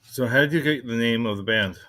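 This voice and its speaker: A man's voice: mid-range voice, a little fast, a bit bright. A man hosts a music podcast and puts brief, direct questions to his guest. His delivery is plain and conversational. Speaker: podcast host. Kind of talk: interview questions.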